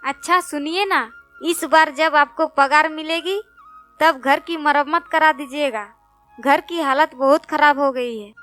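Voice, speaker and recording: Woman as a high-voiced caring wife. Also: measured pace, faint music behind. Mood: gently coaxing. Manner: attentive, gently coaxing. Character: caring, gentle young wife and mother.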